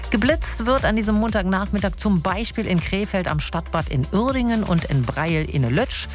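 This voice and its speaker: A woman's voice: mid-range voice, animated, normal speed. A woman hosting a radio show from the studio, talking in a chatty, teasing, light-hearted way while she reads weather and traffic. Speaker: radio host. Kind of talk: casual radio presenting. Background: music playing under the voice.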